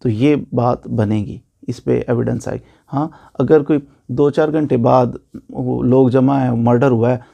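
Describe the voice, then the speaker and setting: A man's voice: mid-range voice, fairly even intonation, a little slow, gravelly, hardly any pauses, mellow. A man with a Pakistani accent giving an informal law lecture, explaining by repeating points and posing questions that he answers himself.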